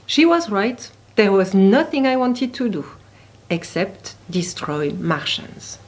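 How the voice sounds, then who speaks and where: A woman reads a picture book aloud at a slow, unhurried pace. Her pitch sits in an ordinary middle range, and she leans on key words with deliberate, dramatic emphasis, as an expressive storyteller for children. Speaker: picture book reader at a library.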